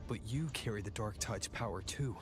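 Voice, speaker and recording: Guy acting a wary young hero in a video game, kinda low voice, serious n guarded, loud music behind. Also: moderate pace, close to an everyday voice. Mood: wary, questioning. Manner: guarded, probing.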